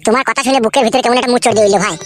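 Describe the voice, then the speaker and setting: A woman's voice: on the high side, rapid-fire, soft background music, close to an everyday voice. A woman voicing a heartbroken, pleading young husband in melodramatic cartoon dialogue. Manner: plaintive, repeatedly addressing his friend.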